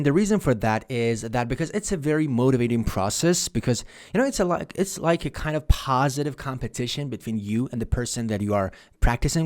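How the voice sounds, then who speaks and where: A man's voice: medium pitch, a little mellow. Earnest man explaining. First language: Persian. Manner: earnest, repeats and restarts phrases while explaining. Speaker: language-learning vlogger. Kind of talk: casual tutorial monologue.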